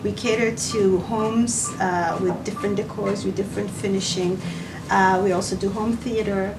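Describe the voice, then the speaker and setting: A woman speaks as a company representative in an interview, pitching in an unscripted, halting way. Her voice is a little far from the mic, with music clearly audible behind it. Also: fairly even intonation. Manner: halting, searching for words. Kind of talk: unscripted promotional interview answers. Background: background music.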